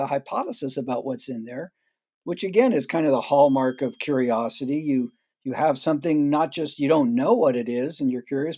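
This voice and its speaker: A man's voice: fairly high pitch, warm tone. A man answering as a guest expert in an interview, explaining at length in a reflective way and restarting phrases.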